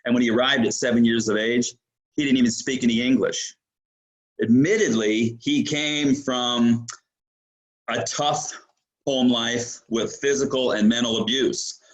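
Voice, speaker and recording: A man's voice: mid-range voice, rough recording. A man hosting an interview show, enthusiastic and rambling, with plenty of fillers and restarts. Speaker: podcast host.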